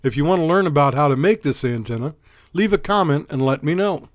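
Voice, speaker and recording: A man's voice: on the high side, animated, a little fast, dry recording. Man narrating a dry, close-miked explainer. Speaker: host and narrator of an electronics channel.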